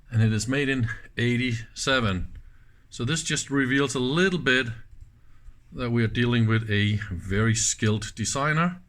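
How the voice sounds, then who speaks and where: A man presenting a casual hands-on demonstration, speaking in halting pieces and often checking in with short questions. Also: medium pitch, fairly bright tone. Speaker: presenter of electronics teardown videos.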